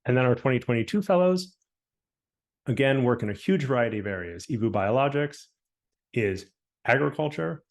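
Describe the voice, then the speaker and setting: A man from the program staff presenting slides on a video call, listing names at a steady clip. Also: medium pitch. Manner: brisk listing, slowing on names.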